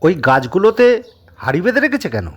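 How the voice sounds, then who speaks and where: A man's voice: high-pitched, big pitch swings, fairly quick, dull audio, voice pushed up. A man voicing a polite, curious young visitor from the city in an audio drama. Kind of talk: Bengali audio story acting.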